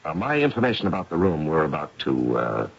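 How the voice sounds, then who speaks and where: Radio drama casting: courtly scholarly investigator of spirits, gravelly and husky, expressive swoops, hesitant politeness, vintage recording. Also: male voice, a bass voice, brisk, muffled recording, heavily acted. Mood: measured, wry. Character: courtly, learned male psychic investigator, measured and open-minded. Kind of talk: 1970s radio drama acting.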